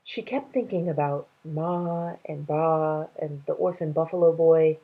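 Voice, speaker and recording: Lady reading kids a story, lowish raspy voice, real slow, sounds like over a phone. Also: phone-quality sound. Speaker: read-aloud reader. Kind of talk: storytelling narration to children.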